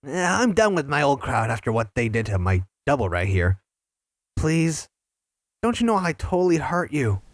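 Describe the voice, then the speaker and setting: A man playing an eager, cocky, youthful cartoon hedgehog hero in a fan audio drama, chatty and excitable. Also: medium pitch, normal speed, no room sound, clean studio sound, close to an everyday voice. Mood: upbeat, explaining.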